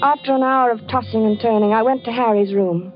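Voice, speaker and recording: A woman's voice: on the high side, fairly quick, natural voice. Earnest, frightened wife-narrator, played by a woman; muffled vintage radio sound with music underneath. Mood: somber. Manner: earnest, anxious storytelling. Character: frightened wife narrating her husband's death. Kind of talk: 1940s radio acting.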